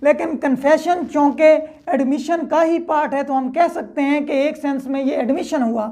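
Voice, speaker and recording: A woman's voice: high-pitched, moderate pace, mellow. A woman giving an explanatory lecture, step by step, repeating points and checking that listeners follow. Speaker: law lecturer.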